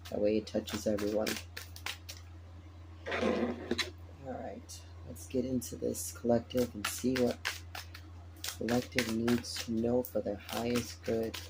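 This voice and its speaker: A man with a raspy morning voice, tired, chatting casually as he lays out a tarot reading and repeats his phrases. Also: mid-range voice, noisy background. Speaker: tarot reader.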